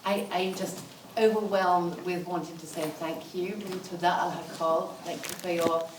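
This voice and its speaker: A woman from New York in the audience, thanking the speaker and asking a halting question with many restarts, heard from away from the mic. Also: fairly low pitch, steady tone, noisy background.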